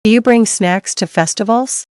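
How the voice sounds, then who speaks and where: A woman reading English dialogue lines slowly and clearly for learners, repeating each line. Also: fairly high pitch, darkish tone, no room sound, clean studio sound. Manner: slow, clear, each line read twice.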